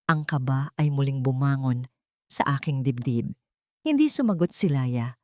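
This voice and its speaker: A woman's voice: very deep voice, lively intonation, normal speed, darkish tone, no echo, clean studio sound. A woman reading a scripted, dramatic first-person audio story as its narrator.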